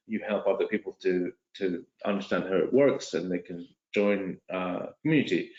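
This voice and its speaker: A man's voice: low voice, moderate pace, darkish tone. A man hosting a live webinar who introduces a trading guest in a hesitant way, with many fillers and restarts. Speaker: webinar host. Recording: echoey lo-fi video call audio.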